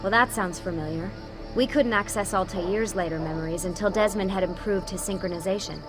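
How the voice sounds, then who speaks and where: Woman acting calm serious team leader lady in a game, normal pitch, music under her. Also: fairly quick, close to an everyday voice. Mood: explaining. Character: composed, serious American woman leading a covert team. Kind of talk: naturalistic video game cutscene acting.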